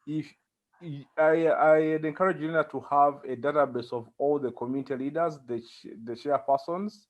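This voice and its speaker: A man with a Ugandan accent giving conversational interview answers as a guest, with halting phrasing and frequent pauses. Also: a little high, fairly even intonation, dull audio. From central Uganda. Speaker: interview guest, community organizer.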